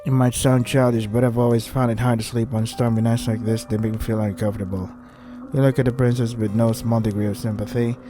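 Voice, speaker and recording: A man's voice: mid-range voice, little pitch movement, fairly quick, music in the background. A man reading a visual novel aloud and commenting on it in an irritated, sarcastic way with heavy swearing. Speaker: let's play host.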